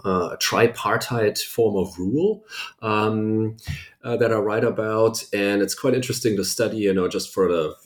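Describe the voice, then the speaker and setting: A man whose first language is German talks through his research in a low, deep voice. He pauses often with ums and restarts, choosing his words with scholarly care. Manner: thoughtful, many ums and restarts. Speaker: historian author. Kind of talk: academic interview answer.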